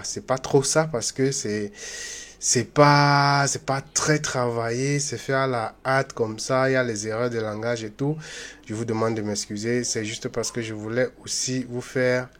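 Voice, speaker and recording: A man hosting a software tutorial, talking loosely and chattily with many fillers and restarts, and speaking straight to his viewers in a friendly way. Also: medium pitch. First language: not English. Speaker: YouTube tutorial host. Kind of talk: unscripted screen-recorded software tutorial.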